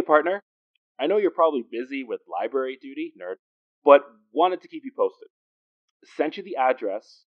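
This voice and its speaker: A man plays a confident police detective who talks in dry wisecracks. His voice sits at an ordinary male pitch, with a teasing, natural audio drama delivery. The recording is a little dull. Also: natural voice. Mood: wry.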